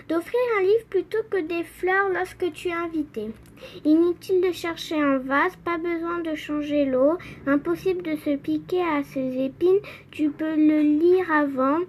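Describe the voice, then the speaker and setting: A young female reader with a very high, childlike voice reads a picture book aloud. The reading is slow and halting, word by word, with playful emphasis. There is faint noise in the background.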